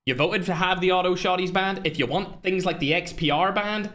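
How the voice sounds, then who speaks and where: A man runs a live stream in a loose, brash chat style and talks straight at his viewers. His voice sits a bit high and sounds sharp and bright. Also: steady tone. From Northern Ireland. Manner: brash, fast-flowing, talks straight to chat, trash-talking. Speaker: livestream host and tournament organizer.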